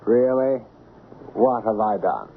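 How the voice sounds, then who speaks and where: An actor playing a formal, unruffled, evasive villain giving curt denials in an old-time radio drama. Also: male voice, fairly low pitch, slow. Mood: cool, defiant. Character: smooth evasive male villain, coolly defiant. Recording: tinny, band-limited, noisy old radio recording.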